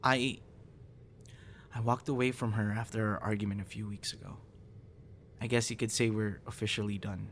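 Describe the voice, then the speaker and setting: A young man performing an intimate audio roleplay as a troubled guy confiding in a close friend after a breakup, halting and stammering. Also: on the low side, fairly even intonation, fairly bright tone. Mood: subdued, apologetic. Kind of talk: intimate ASMR boyfriend roleplay, acted monologue. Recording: noticeable background noise.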